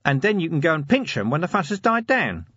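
A man's voice: a little high, very animated, fast talker, pushed and bright, slightly rough, no echo, muffled recording, pitched above the performer's own voice, heavily acted. A man voicing a scheming, cheeky city watchman in an audiobook story, rambling eagerly in colloquial speech.

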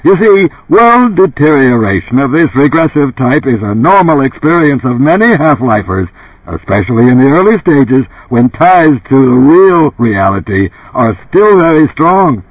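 A male narrator puts on a brash older tycoon selling on television in an audiobook. His voice is gravelly and emphatic, swinging widely in pitch and lifted well up for the sales pitch. The sound is dry and thin, like a radio. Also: big pitch swings, moderate pace, no room sound, narrow, tinny audio. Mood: smooth hard sell. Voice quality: gravelly, dark, resonant. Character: dead older tycoon as booming, blunt TV pitchman.

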